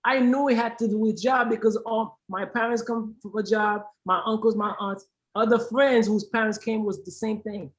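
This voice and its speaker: A woman's voice: on the high side, fairly even intonation, normal speed. A woman from Haiti speaking as a panel guest, impassioned and emphatic, repeating phrases for stress. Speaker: aid organization founder, panel guest.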